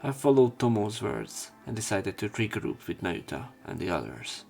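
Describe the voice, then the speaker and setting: A man narrating a visual novel playthrough, reading story text and dialogue aloud with some halting pauses. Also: lowish voice, sing-song, creaky, a little mellow, breathy edge. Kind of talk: scripted narration and character line reading. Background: game music, keyboard typing.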